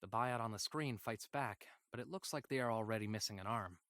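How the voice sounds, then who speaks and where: A man voicing a polite, weary android companion who narrates a sci-fi visual novel diary in a measured, formal, hesitant way. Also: on the low side, fairly bright tone, no echo, studio quality. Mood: detached, unsettled. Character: weary obedient male android companion, polite, quietly troubled.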